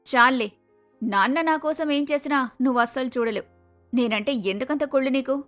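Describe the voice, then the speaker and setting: Lady doing a stuck-up spoiled grown daughter for a cartoon, high and bossy, bit of music under it. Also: a little fast, a bit bright. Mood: spiteful, whining. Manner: haughty, bossy, accusing. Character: arrogant, spoiled young adult daughter, harsh and jealous. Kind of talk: acted cartoon moral story.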